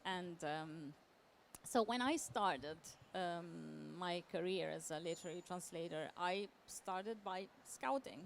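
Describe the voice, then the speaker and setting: A woman giving a semi-improvised conference presentation as a literary translator, halting and often repeating words as she searches for phrasing. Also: fairly low pitch. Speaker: literary translator, panel speaker. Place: live talk at a book fair event. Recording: clear background noise.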